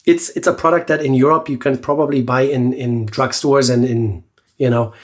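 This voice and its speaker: A man's voice: mid-range voice, normal speed. Congested man, nasal and chatty. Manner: relaxed, chatty, with many fillers and restarts. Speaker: shaving channel host. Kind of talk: casual product review vlog.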